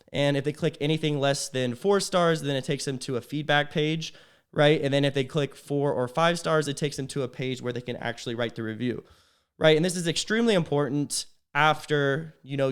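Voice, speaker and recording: A young man talking through a business tutorial in a loose, conversational way, tagging his points with "right?". His voice is smooth and resonant underneath, with a slight rasp from a cold. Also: medium pitch. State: a little sick, slightly raspy. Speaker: agency owner teaching business sales.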